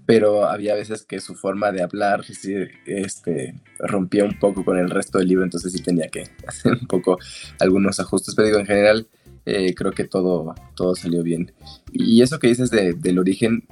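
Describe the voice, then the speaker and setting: A man's voice: low voice, steady tone, normal speed, darkish tone, husky, faint music behind. A man, an author answering interview questions in a frank, opinionated way. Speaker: author and guest.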